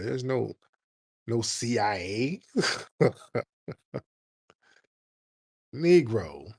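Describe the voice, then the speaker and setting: A man runs a live call-in show. He talks in a brash, mocking, animated way, cutting callers off and pushing back hard. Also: medium pitch, breathy edge. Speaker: host.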